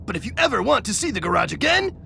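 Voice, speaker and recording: A man's voice: high voice, big pitch swings, very fast, vocal fry, bright, forceful tone, a little hiss or hum, heavily acted. An actor playing a hammy, theatrical uncle who challenges his nephew in a video game cutscene. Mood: grandly taunting. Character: hammy meatball-cannon uncle, theatrical rival. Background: game music.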